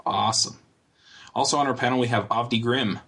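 A man hosting a casual panel podcast, talking in a relaxed, rambling way with filler words. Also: mid-range voice, a little fast, a little hiss or hum. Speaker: podcast host.

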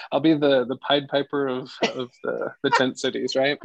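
A soothing, soft-spoken man chatting with his group on a video call, his voice carrying a gravelly fry. The sound is dry and close, with no room around it. He laughs easily and keeps things relaxed. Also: mid-range voice, no room sound, muffled recording. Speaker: discussion group leader. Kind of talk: casual online group chat.

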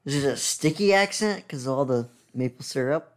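Young man in casual, mid-pitched banter. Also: animated, a little fast. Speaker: podcast co-host.

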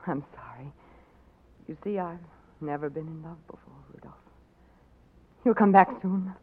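A woman's voice: deep voice, unhurried. An actress playing a devoted young princess pleading with her beloved in a 1940s radio drama. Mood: tender. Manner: tender, pleading. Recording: muffled old radio recording with hiss.

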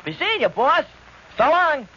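An actor playing an excitable, loyal reformed crook in 1940s American radio drama, talking in jumpy, naive slang. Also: male voice, very high-pitched, unhurried, full character voice. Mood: eager, deferential. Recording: muffled, noisy old radio recording.

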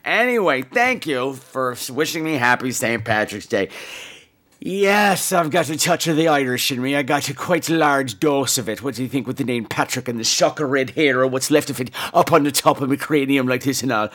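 Male podcast host, American, hoarse from laryngitis, campy, swooping pitch, casual comic chat. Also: moderate pace. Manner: campy, animated, foul-mouthed.

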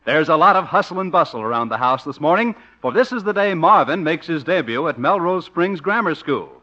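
A man's voice: fairly high pitch, lively intonation, fairly quick, sharp tone. A man as a polished announcer narrating the scene for a 1950s radio comedy. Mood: upbeat, polished. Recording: dull old radio recording, music.

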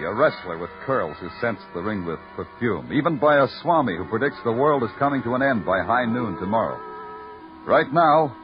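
An actor playing a world-weary New York police detective, terse and deadpan, in noir radio drama style. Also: male voice, medium pitch, close to an everyday voice. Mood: wry. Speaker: lead actor and narrator. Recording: dull vintage radio recording.

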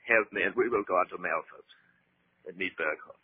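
An actor playing a domineering, panicky fanatic soldier in 1940s radio horror, urgent, insistent and repetitive. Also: male voice, low voice, fairly quick, slightly rough. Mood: insistent, tense. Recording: noisy, tinny 1940s radio recording.

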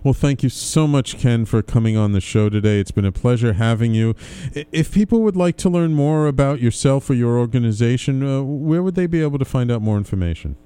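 Smooth, dark-voiced man hosting an interview. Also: medium pitch, animated, a little fast, hardly any pauses, dry recording. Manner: agreeable, frequent affirming interjections. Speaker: show host, interviewer. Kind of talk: conversational interviewing.

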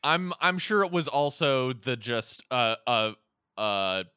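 Clear, bright male podcast host. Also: medium pitch, lively intonation, slow pace, dry recording, clean studio sound. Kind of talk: casual film discussion.

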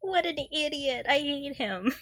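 A woman's voice: high voice, lively intonation, a little slow, fairly bright tone, dry recording, shouting, studio quality. A young woman co-hosting a podcast in casual fan chat, playful and gushing, often giggling through her words. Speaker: podcast co-host. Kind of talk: casual fan discussion of audio dramas.

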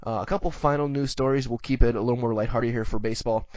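A man's voice: medium pitch, fairly even intonation, fast, darkish tone, no room sound, highs cut off. A man with an American accent hosting a sports show, talking through the news in a conversational commentary style. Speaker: sports podcast host.

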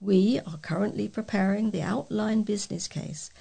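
Female amateur newsreader, strongly gravelly voice, reading community bulletins from a script. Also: mid-range voice, moderate pace, dark tone. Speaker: volunteer newsreader for a local talking newspaper. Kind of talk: read-aloud local news.

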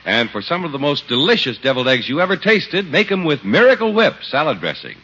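A man's voice: on the high side, sing-song, fairly quick, bright, forceful tone, husky. A man announcing a scripted 1950s radio show and its sponsor's message. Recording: dull 1950s radio recording. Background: music.

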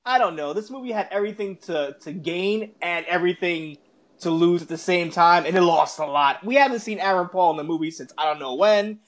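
A man hosting a podcast, chatting casually in an animated, slangy way with plenty of swearing. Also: high voice, brisk, noisy background. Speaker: podcast host. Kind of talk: casual ranting movie chat.

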